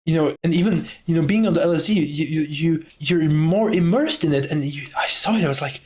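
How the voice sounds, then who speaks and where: Young czech guy, english not his first language, swears a lot while ranting, kinda high voice. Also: brisk, darkish tone. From Czech Republic. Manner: profane, ranting, laughs often. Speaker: YouTuber. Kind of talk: casual monologue to camera.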